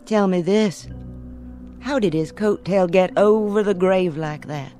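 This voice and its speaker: Actress as slow, pointed, composed woman. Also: mid-range voice, dark tone, close to an everyday voice. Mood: cool, challenging. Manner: measured, pointed questioning. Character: sharp, composed woman coolly dismantling men's explanation. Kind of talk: radio drama acting. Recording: music bed under the dialogue.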